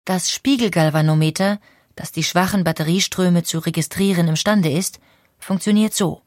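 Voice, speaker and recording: A woman reading scripted documentary narration in an explanatory, measured way. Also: on the low side, darkish tone, dry recording, clean studio sound.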